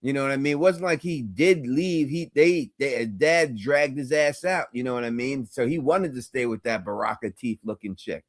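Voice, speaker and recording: A man's voice: mid-range voice, moderate pace, hardly any pauses, no echo, clean studio sound. A man co-hosting a casual call-in show, talking in a laid-back, rambling way and swearing now and then. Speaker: co-host.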